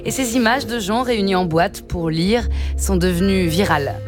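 A woman's voice: medium pitch, moderate pace, over loud music. A woman presenting a light scripted radio column in a wry, conversational way.

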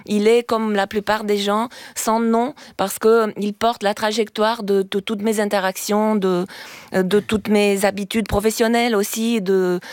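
A woman's voice: medium pitch, level tone, measured pace, a little mellow, clean tone, no room sound, studio quality. A woman from Romania, whose first language is Romanian, explaining as a guest on a radio talk show, earnest and emphatic. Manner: earnest, emphatic, many restarts. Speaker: linguist guest.

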